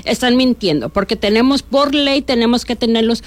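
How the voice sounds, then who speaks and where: A woman's voice: fairly high pitch, moderate pace, dry recording. Chatty Mexican-born woman, dry close sound. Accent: northern Mexican. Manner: chatty, frequent fillers and restarts. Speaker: bail bond agent guest. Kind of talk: casual radio interview.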